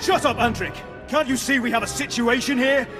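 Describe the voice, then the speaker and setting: An actor playing a haughty, short-tempered Imperial officer in a video game, with an imperious, formal way of talking. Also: male voice, extremely high pitch, fairly even intonation, fairly quick. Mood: outraged. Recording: long hall reverb, music behind.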